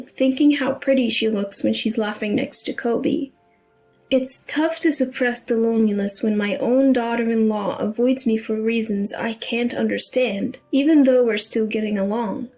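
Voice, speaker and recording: A woman's voice: on the high side, a little mellow. A woman reading a scripted first-person story as its narrator.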